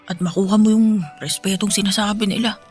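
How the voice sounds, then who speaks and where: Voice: medium pitch, little pitch movement, a little fast, soft background music, natural voice. A performer voicing a poor young boy, an anxious and devoted son, in Filipino radio drama style, with pleading, respectful delivery. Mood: worried, tender. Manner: pleading, respectful toward his father.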